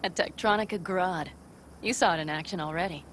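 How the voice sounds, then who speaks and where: An actress plays a blunt, assertive nomad woman from a video game. Her voice sits fairly low and she speaks matter-of-factly, like someone used to giving plans. Clear engine and ambient noise runs behind her. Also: brisk, fairly bright tone, close to an everyday voice. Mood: earnest, wry. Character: blunt, determined nomad woman planning a rescue.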